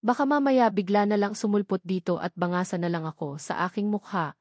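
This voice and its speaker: A woman's voice: medium pitch, a little fast, darkish tone, dry recording, clean studio sound, close to an everyday voice. A woman voicing a worried, teasing young man, a friend of the heroine in a novel.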